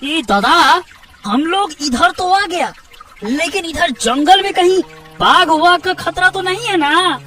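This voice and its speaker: Very high, bright, nervous younger brother. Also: female voice, lively intonation, takes it slowly, far from the mic, soft background music, heavily acted. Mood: worried. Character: nervous younger fisherman brother, nagging and suspicious. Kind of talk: Hindi cartoon moral story acting.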